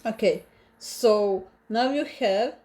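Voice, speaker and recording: A woman with a Croatian accent, a native Croatian speaker, teaching a live online language lesson, explaining slowly and carefully with many restarts and repeated examples. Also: medium pitch, a little mellow.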